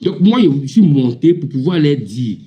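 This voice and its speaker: Indignant Ivorian man, dark muffled tone. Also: a little high, very animated, moderate pace. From Ivory Coast. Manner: indignant, emphatic, repetitive. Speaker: panel debate participant. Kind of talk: heated informal political rant on a live audio panel.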